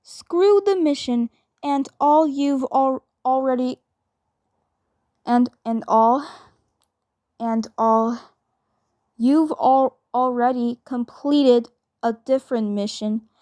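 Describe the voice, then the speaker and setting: A woman doing character roleplay for a fan dub, halting and stammering, often repeating her phrases. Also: sits high, mellow, no echo, clean studio sound.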